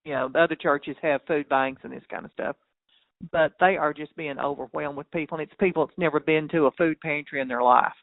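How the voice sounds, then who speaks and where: A man with a fairly high voice talks over a thin, narrow phone line with very little room sound. He is a cattle farmer, chatting in a folksy, rambling, plain-spoken way. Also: fairly even intonation, a little fast, gravelly, no echo. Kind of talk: casual phone chat. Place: on a phone call.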